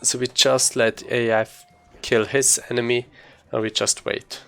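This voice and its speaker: A man's voice: medium pitch, little pitch movement, unhurried, gravelly, sharp tone, soft background music. A man giving casual, explanatory gameplay commentary as a speedrunner.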